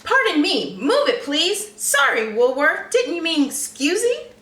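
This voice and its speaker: A woman voicing a greedy, excitable storybook treasure hunter who speaks in exclamations and questions. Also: very high voice, unhurried, reverberant, far from the mic, voice pushed up, full character voice. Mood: pushy.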